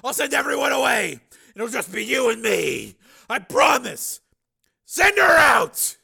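A man voicing a desperate, protective cyborg father in a story reading, urgently shouting pleas and orders. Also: very high-pitched, very animated, a little slow, gravelly, slightly rough, pitched above the performer's own voice, heavily acted. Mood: desperate bargaining.

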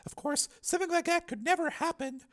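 A man voicing a defensive, anxious woman scientist in an audiobook, uneasy yet insistent, explaining and pleading in halting phrases. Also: extremely high pitch, a bit bright, voice pushed up. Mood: hesitant, uneasy.

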